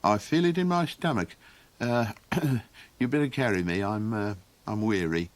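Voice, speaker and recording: A man voicing a small, anxious male Golok creature in a hesitant, murmuring low voice. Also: measured pace, no room sound, lightly acted. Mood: fretful. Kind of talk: audiobook character voice.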